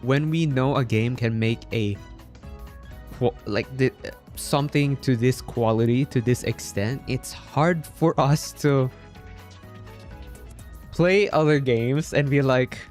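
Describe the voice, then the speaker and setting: Man, game streamer, slow halting delivery, casual and appreciative, faint music behind. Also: mid-range voice, a little mellow. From Philippines. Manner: halting, repeats words, appreciative and polite. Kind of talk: casual livestream chat with viewers.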